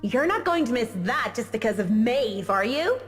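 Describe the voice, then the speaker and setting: A woman's voice: on the high side, very animated, normal speed. A woman voicing a timid teenage schoolgirl who talks in an anxious, coaxing way. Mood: pleading. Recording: reverberant game audio with music.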